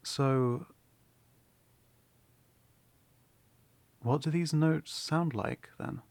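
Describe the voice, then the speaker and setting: An actor playing an earnest, awkward young prince in a fantasy audio drama, speaking formally and stammering through apologies. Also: male voice, a little high, takes it slowly, darkish tone, no echo.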